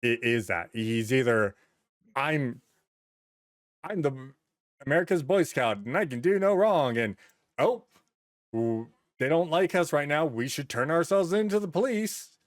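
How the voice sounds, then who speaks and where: A man hosting a podcast in casual conversation, animated and given to acting out imagined quotes. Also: on the high side, a little slow, long pauses, no echo, studio quality. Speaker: podcast host.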